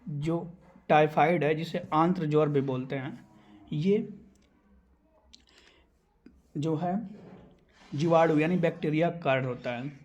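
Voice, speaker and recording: Higher-pitched male exam tutor. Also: slow pace, long pauses, a little mellow, dull audio. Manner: instructional, repeats key terms for memorising. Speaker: exam coaching teacher. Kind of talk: explaining quiz answers for exam preparation.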